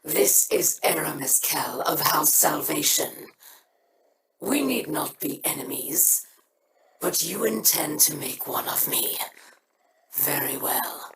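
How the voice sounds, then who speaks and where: An actress playing a stern, solemn alien house leader in a video game, speaking in a measured way. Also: female voice, low-pitched, animated, noisy background, full character voice.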